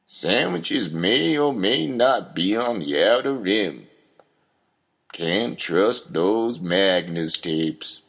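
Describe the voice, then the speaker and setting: A man playing a gruff, doubting old father figure in an audio drama, deadpan and profane, with a husky voice. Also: on the low side, very slow, exaggerated voice. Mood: dry, doubting.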